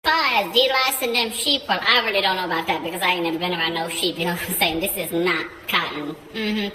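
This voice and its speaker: A woman's voice: on the low side, fast, pushed and bright, roomy sound, big-room sound, poor audio. A woman voicing a sassy, flirty, greedy witch of the woods in a comic fairy tale, with a slangy drawl and humming asides. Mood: sassy, knowing. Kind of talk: comic improvised storytelling.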